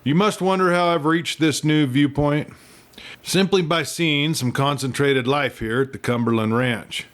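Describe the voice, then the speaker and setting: A man's voice: fairly high pitch, fairly even intonation, measured pace, a bit bright. A man voicing a pompous but self-mocking young scholar in a dramatic storybook reading, with theatrical, bookish phrasing and folksy slips. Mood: exuberant, emphatic. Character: young male scholar, pompous yet self-mocking, newly exuberant, writing home.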